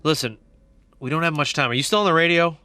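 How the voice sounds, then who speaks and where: A man playing an excited, nerdy investigator in a radio play, explaining theories earnestly with halting stammers. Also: a little high, no room sound. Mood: urgent, excited.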